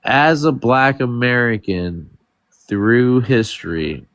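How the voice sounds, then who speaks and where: A man's voice: medium pitch, expressive, slow, a bit bright, no echo. A man hosting a live online panel discussion, steering the talk and often checking in with "right?". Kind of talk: casual moderated discussion.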